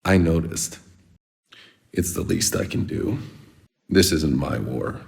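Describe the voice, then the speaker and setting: An actor playing a world-weary, sardonic soldier in a video game, gravelly, dry and blunt. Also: male voice, low-pitched, lively intonation, slow, a little mellow, no room sound, heavily acted. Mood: bitter, blunt. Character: gruff, world-weary male Outrider soldier, sardonic and foul-mouthed.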